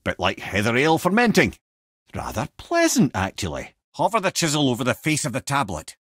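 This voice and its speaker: Game casting: clever old Scottish advisor, husky voice, very expressive pitch, chatty and wry. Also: male voice, brisk, no room sound, studio quality. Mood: wry advising. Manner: wry, chatty, wordy storytelling. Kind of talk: video game character dialogue.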